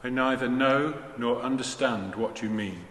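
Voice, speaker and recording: A man's voice: medium pitch, a little slow, fairly bright tone, natural voice. A man playing a defensive disciple in an emphatic, declamatory scripture reading. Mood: defensive denial. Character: Peter, defensive male disciple denying his master. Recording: echoey church, long reverb, faint noise.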